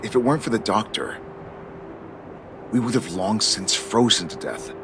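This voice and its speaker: A man voicing a stern but fair military guard captain in a video game, earnest and deliberate. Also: lowish voice, expressive, background noise.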